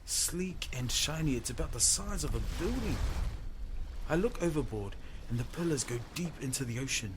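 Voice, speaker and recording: A man's voice: mid-range voice, lively intonation, moderate pace. A man narrating an audio story in the first person with a theatrical, dramatic manner. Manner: theatrical, present-tense storytelling. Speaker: storyteller, voice performer. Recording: strong background noise.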